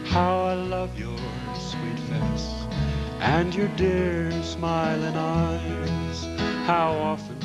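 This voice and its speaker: A man's voice: fairly high pitch, fairly even intonation, very slow. A man singing an old-time country love song, accompanied by guitar and dobro. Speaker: singer.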